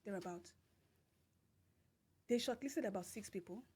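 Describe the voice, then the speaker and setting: A woman's voice: mid-range voice, a little slow, a little mellow, breathy edge. A woman with a Nigerian accent, a podcast guest telling a personal story with emphatic repetition and laughter. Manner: emphatic, repeats phrases, laughs through story. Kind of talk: storytelling in casual interview.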